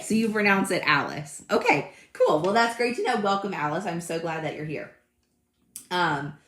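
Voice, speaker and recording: Chatty, slightly hoarse woman crafter. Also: fairly low pitch, a bit bright, echoey room. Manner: chatty and friendly, greets live commenters by name. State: slightly hoarse, recovering from illness. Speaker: craft channel host. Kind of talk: casual livestream chat. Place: home craft room.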